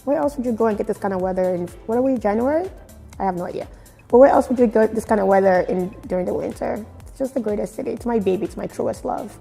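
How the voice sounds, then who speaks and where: A woman from Nigeria with a Nigerian accent mixed with British and American, giving expressive, emphatic answers in a casual interview. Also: mid-range voice, fast, creaky. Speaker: fashion blogger being interviewed. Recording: very echoey room with music. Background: music.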